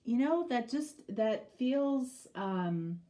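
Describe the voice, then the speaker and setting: A woman's voice: on the high side, animated, very slow, dark tone. A middle-aged woman with a stuffy, nasal voice from a cold, giving a casual tarot reading and rambling through many fillers. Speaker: tarot reader.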